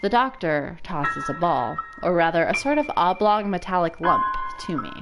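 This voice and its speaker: A woman's voice: on the low side, very animated, moderate pace. A woman narrating a visual novel in a dramatic reading for a let's play. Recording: loud game music behind voice. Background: game music.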